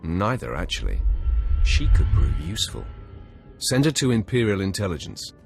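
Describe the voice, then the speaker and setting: Game role: cool Sith apprentice, deep and clipped, expressive pitch, music underneath. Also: male voice, normal speed, gravelly, full character voice. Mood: cool, decisive. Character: young male Sith acolyte, cool, calculating, sardonic. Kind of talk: video game cutscene acting.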